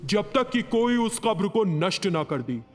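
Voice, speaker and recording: A man voicing a friendly chief of devils in a cartoon story, measured and reassuring. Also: high-pitched, big pitch swings. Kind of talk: Hindi cartoon story voice acting.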